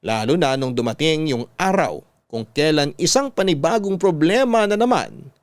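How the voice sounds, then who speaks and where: A man with a Filipino accent reading a scripted first-person story in a measured, dramatic storytelling manner. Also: fairly high pitch, animated, no echo. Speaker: radio drama narrator.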